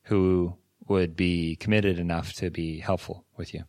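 A man's voice: low-pitched, flat delivery, a little slow, darkish tone, no echo, clean studio sound. A young man co-hosting a podcast in a casual chat, earnest and encouraging, often restarting his sentences. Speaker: podcast co-host.

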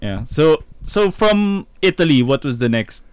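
A man's voice: on the high side, sing-song, a little slow, darkish tone, clear, resonant tone, no room sound. Curious male podcast host, dry sound. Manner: curious, many fillers and restarts. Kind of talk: casual interview chat.

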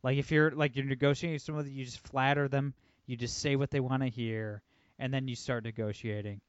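A man hosting a podcast, talking politics casually in a quick, emphatic way full of "like" and "you know". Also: medium pitch, fairly even intonation, clear, resonant tone, no room sound. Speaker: podcast host. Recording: dull lo-fi, dry sound.

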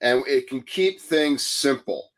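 A man hosting an interview, who also coaches and leads a guided breathing exercise, speaking in a calm, measured, soothing way. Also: medium pitch. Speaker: host, coach.

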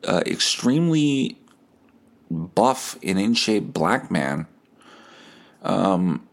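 A man hosting a podcast, telling stories in a casual, comedic, animated way with some swearing. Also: on the low side. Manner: animated, acts out quoted dialogue; profane. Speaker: podcast host.

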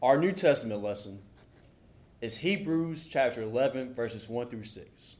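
Slow, deliberate young man preaching. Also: mid-range voice, expressive, darkish tone. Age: young adult. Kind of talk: scripture reading and sermon. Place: church sanctuary during a service.

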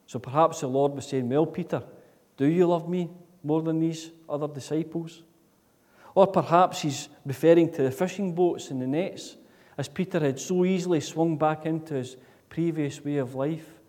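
A man preaching a sermon in a church, earnest, with repeated rhetorical questions that swing between loud and soft. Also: fairly high pitch, moderate pace. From Scotland. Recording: long reverb of a church hall.

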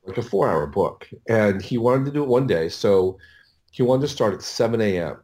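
Podcast guest: American male, middle-aged, slightly low pitch, loose halting storytelling with frequent self-corrections. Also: animated, a little mellow. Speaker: audiobook producer, podcast guest. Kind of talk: casual interview storytelling.

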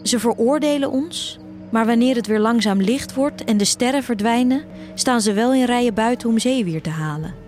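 A woman's voice: a little high, normal speed, darkish tone, faint music behind, lightly acted. A woman playing a yearning teenage girl who tells her own story in a scripted audio drama. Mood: reflective, intimate. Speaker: narrator and voice actor of an audio drama. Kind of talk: scripted first-person narration, audio drama.

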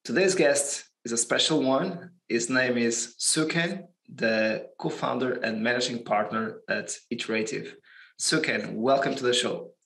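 A man's voice: medium pitch, vocal fry, a bit bright, rough recording. A man hosting a business podcast, asking questions in a hesitant way full of fillers. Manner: hesitant, halting with many fillers. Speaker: podcast host. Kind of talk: interview hosting.

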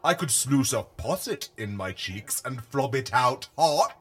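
A man's voice: medium pitch, big pitch swings, unhurried, fairly bright tone, husky, soft background music, exaggerated voice. A man voicing a gutless, servile metalhead henchman in a florid, declamatory comic read-through. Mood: servile, apologetic.

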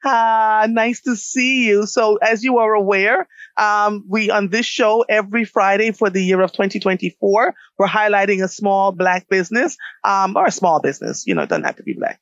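A woman's voice: mid-range voice, expressive, normal speed, no echo, lo-fi sound. A woman from Jamaica hosting a radio show, interviewing a guest in a conversational way.

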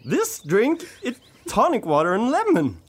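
A man's voice: very high-pitched, huge pitch swings, a little slow, slightly rough, full character voice. A man playing an earnest, sensitive band member who is eager to please, in an amateur radio comedy play. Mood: eager, hopeful.

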